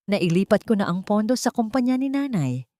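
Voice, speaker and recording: A woman's voice: mid-range voice, big pitch swings, a little fast, dark tone, dry recording, clean studio sound, voice pushed up, lightly acted. A woman with a Filipino accent voicing a cruel, arrogant young groom in an audiobook, contemptuous and commanding. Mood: scheming, smug.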